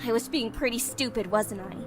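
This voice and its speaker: A woman playing a distressed, guilt-ridden teenage girl in a visual novel, speaking haltingly and apologetically. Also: fairly high pitch, close to an everyday voice. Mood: remorseful, upset. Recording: music behind the voice.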